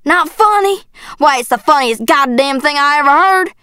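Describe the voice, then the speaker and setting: A woman acts out a middle-aged Southern father for an audiobook. He is harsh, bitter and bullying, with a gruff, threatening delivery in a very dry recording. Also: fairly high pitch, steady tone, moderate pace, a bit bright, dry recording, lightly acted. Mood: angry, scornful. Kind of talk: audiobook character acting.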